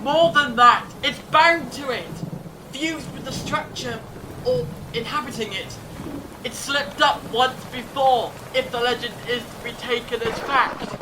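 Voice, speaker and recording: An actor playing a clever, grave time-travelling adventurer in a fan audio drama, explaining things in a measured, dramatic way. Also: natural voice. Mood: grave, explanatory. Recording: distant mic, rumbling storm-like noise.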